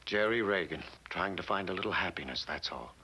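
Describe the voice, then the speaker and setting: Firm, deep-voiced, weary agent. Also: male voice, lively intonation, a little fast, pushed and bright, full character voice, rough recording. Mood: grave, sympathetic. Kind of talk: 1960 TV drama acting. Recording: hissy old TV soundtrack.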